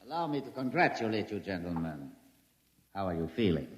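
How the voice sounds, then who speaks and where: A dull, cavernous vintage radio recording of a male mad scientist. He lectures in formal, pompous phrases with a cold, gloating courtesy, his pitch rising and falling widely. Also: big pitch swings, a little fast. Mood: mock-courteous gloating. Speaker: radio drama actor. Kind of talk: 1950s radio drama acting.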